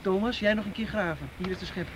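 Radio play casting: man as reassuring young male group leader, slightly high voice, practical, easygoing, noisy background. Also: fast talker, lightly acted. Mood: easygoing, directing. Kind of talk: Dutch radio drama acting.

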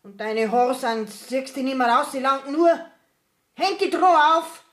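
A woman's voice: high voice, unhurried. A middle-aged woman playing an indignant, stubborn village widow in a dialect radio play, scolding emphatically with a Bavarian accent. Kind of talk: dialect radio drama acting.

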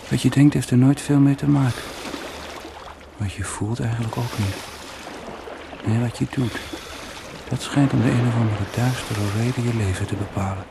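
A man's voice: medium pitch, normal speed, vocal fry, pauses often, close to an everyday voice. An actor playing a stubborn swimmer alone at sea in a radio play, philosophising and mocking himself as he reasons his way onward. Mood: self-persuading, musing. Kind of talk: radio play inner monologue. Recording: very noisy old radio play recording.

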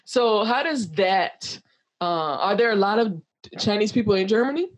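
Frank, husky-voiced woman interviewer. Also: mid-range voice, normal speed, low-quality recording. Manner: frank, swears, searches for careful wording. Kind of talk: casual interview talk.

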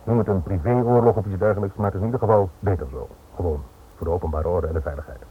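An actor playing a guarded Dutch police inspector in a radio play, formal, measured and quietly insistent, on an old recording. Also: male voice, deep voice, natural voice. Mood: insistent warning. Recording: muffled phone-like old radio recording, noisy.

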